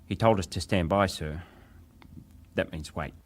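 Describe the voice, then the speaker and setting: An actor playing a ship's wireless operator, a respectful but defensive witness giving clipped testimony in a dramatised hearing. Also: male voice, sits low, a little slow. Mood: respectful, matter-of-fact. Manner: clipped, respectful, matter-of-fact.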